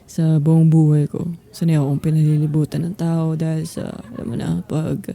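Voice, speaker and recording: An actress plays a young Filipina varsity athlete in an intimate audio roleplay. Her voice is low and very mellow, with a breathy husk. She is teasing and confident, yet halting and softly vulnerable, and she takes her time. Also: little pitch movement. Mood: sincere, tender. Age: young adult. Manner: teasing, breathy, halting, mixes Tagalog and English. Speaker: voice actor.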